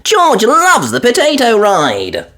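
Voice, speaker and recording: A woman voicing a cheerful, excitable little girl piglet from a children's cartoon storybook, eager and full of exclamations. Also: on the high side, slow pace, pitched above the performer's own voice, full character voice. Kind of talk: animated children's storybook character reading.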